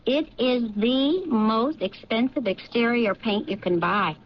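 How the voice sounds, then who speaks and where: A woman's voice: on the high side, animated, unhurried, a little hiss or hum. An actress playing a newly married policewoman in a 1970s radio drama, telling her story in a hesitant, apologetic way. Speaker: police officer. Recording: dull lo-fi 1970s radio recording.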